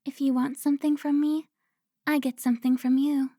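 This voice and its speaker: A woman's voice: high voice, little pitch movement, mellow, no room sound, studio quality, lightly acted. A woman playing a teasing, pouty, centuries-old vampire who looks twenty, in a cinematic audio roleplay. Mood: coy, needling. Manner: playful, pouty, drawn-out teasing.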